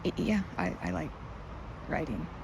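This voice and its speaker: A woman's voice: fairly low pitch, lively intonation, a little slow, darkish tone. A woman playing a timid, flustered young woman in an ASMR roleplay, stammering and hesitant, rambling self-effacingly. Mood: bashful, modest. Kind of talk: ASMR roleplay, one-sided conversation. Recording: clearly noisy outdoor ambience.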